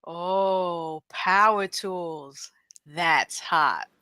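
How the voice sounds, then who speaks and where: Woman acting a bright-voiced, defiant action heroine. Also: fairly low pitch, very slow, smooth, no echo, full character voice. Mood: sarcastic. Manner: taunting, sarcastic, profane. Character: defiant, foul-mouthed captive female action hero, mocking her torturer. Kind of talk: screenplay table read.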